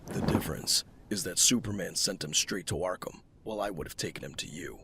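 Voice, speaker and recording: An actor playing a brooding, secretive masked vigilante detective in an audio drama, gravelly and terse. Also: male voice, low voice, brisk, background noise. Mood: stern, dismissive. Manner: terse, clipped.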